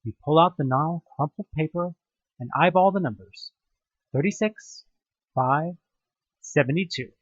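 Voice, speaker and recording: Expressive, high-pitched man narrating horror. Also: husky, no room sound, dull audio. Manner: theatrical, expressive delivery. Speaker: narrator of an audio drama. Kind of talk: dramatic second-person scripted narration of a horror story.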